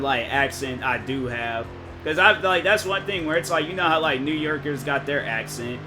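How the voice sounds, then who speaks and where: Rambling young man streaming a racing game. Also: mid-range voice. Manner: rambling, halting, lots of fillers and restarts. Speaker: gaming streamer. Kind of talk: casual live-stream chat while racing. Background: game music and car engine sounds.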